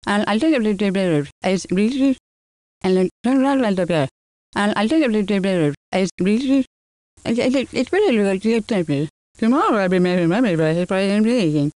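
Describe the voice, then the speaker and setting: An actress plays a chatty woman from a life simulation game. She babbles emphatic, repetitive half-sense at a middle pitch. The sound is close and dry. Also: expressive, a little fast, darkish tone, dry recording, close to an everyday voice. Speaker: voice performer for game character samples. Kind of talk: improvised mock conversation for a simulation game.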